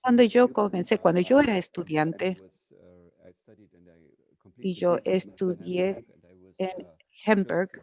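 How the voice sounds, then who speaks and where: Woman interpreter, academic lecture, slow pace, halting, restarts mid-phrase. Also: fairly low pitch, vocal fry, a little mellow, rough recording. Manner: halting, with frequent restarts and self-corrections. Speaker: conference interpreter.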